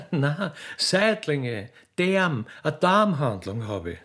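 A man's voice: a little high, sing-song, fairly bright tone, pitched above the performer's own voice, full character voice. Man playing a blunt, coquettish Viennese widow. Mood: wheedling, boastful. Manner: folksy, halting, insistent declarations. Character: mature, rich, wooing Viennese butcher's widow, blunt and coquettish. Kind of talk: theatrical comic radio-play acting.

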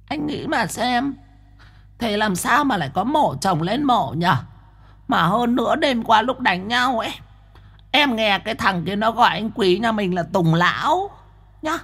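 A jittery young spirit medium, played by a woman, gushing and superstitious in a spoken ghost story. Her breathy voice sits high and bounces all over. She rattles on confidingly. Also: lively intonation, measured pace, fairly bright tone, exaggerated voice. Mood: anxious. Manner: chatty, gushing, easily frightened. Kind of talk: audiobook character voice.

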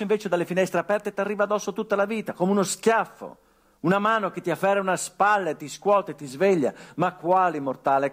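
An actor playing a grown son who speaks to his murdered father in a theatrical monologue, quiet and reflective, with flashes of bitter emphasis. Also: on the high side. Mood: tender. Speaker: stage actor in a radio play.

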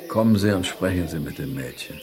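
An actor in a radio play playing a sorrowful, helpless old man who speaks haltingly and wearily, repeating himself. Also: low voice, animated, a crowd in the background. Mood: sad, pleading. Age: older. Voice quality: creaky.

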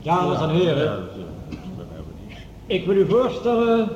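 A man's voice: high-pitched, lively intonation, takes it slowly, darkish tone, a little hiss or hum. Formal chairman in echoing hall. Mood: businesslike. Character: formal, businesslike male meeting chairman. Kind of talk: radio drama acting. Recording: dull, distant sound in echoing hall.

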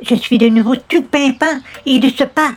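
A man's voice: extremely high pitch, moderate pace, voice pushed up. A man voicing a meek, courteous, solemn religious caller in a radio comedy, formal and humble. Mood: grateful. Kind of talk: old-time radio comedy acting.

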